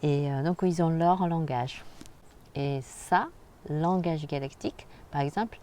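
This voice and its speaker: A woman hosting a spiritual channel, talking casually and directly to the viewer in a soft, halting way with frequent hesitations. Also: low-pitched, a little hiss or hum. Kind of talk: casual spoken vlog monologue.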